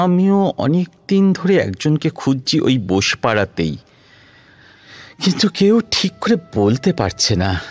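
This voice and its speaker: A man's voice: a little high, exaggerated voice. A man playing a kind young doctor of about thirty in a Bengali audio drama, earnest and hesitant, with a Kolkata accent. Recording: music behind the voice.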